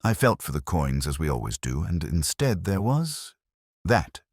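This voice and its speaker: A man playing a nervous young curate, deep-voiced. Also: sing-song, a little slow, husky, dry recording, studio quality, full character voice. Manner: faltering, deferential.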